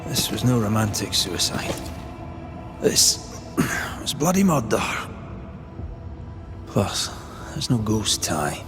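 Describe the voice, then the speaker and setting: A stern male investigator of ghosts in a dramatic game scene. He talks slowly and bluntly in a middle-pitched voice, with music clearly playing behind him. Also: big pitch swings. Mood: grim deduction. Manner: measured, blunt. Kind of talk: video game drama acting.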